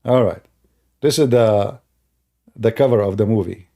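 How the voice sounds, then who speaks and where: Mellow-voiced man telling a mocking story. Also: fairly low pitch, unhurried. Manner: mocking, repeats phrases for emphasis. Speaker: online commentator and host. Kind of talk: informal mocking storytelling commentary to viewers.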